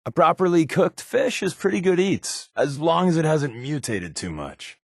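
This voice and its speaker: An American actor playing a sardonic, wisecracking secret agent companion in a video game, with a wry, offhand delivery. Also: male voice, fairly high pitch, sing-song, moderate pace, vocal fry, breathy edge, no room sound, clean studio sound, heavily acted. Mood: wry, musing.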